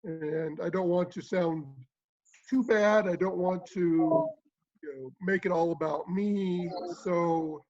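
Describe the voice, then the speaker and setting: A man with a very high-set voice talks casually in a panel chat. The sound is a little dull and lo-fi. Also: slow, a little mellow. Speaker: amateur voice actor and writer. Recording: dull, lo-fi online stream audio.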